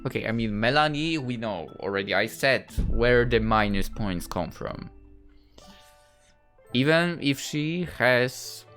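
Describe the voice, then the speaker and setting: Woman game streamer, low voice, casual and hesitant, reading and commenting over light music. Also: lively intonation, slow, fairly bright tone. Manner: hesitant, thinking aloud with trailing phrases. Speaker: host of a visual novel playthrough. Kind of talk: let's play, reading game text aloud with casual asides.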